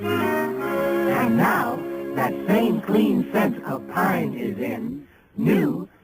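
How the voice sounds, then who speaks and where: A woman's voice: on the low side, big pitch swings, very slow. A woman reading a dreamy, scripted 1950s radio commercial. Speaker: commercial announcer. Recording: old radio spot with loud music.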